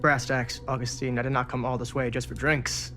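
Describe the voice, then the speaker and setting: A man's voice: medium pitch, flat delivery, fairly quick, sharp tone, close to an everyday voice. An actor playing a smug, wordy, hard-drinking male dealer in a cinematic video game. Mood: smug, impatient. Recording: music under the voice.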